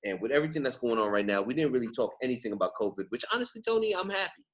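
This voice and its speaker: A man's voice: mid-range voice, sing-song, rapid-fire, a bit bright. An American man hosting a podcast in casual, slangy talk, emphatic and often repeating his phrases. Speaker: podcast host. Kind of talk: casual chat, podcast sign-off.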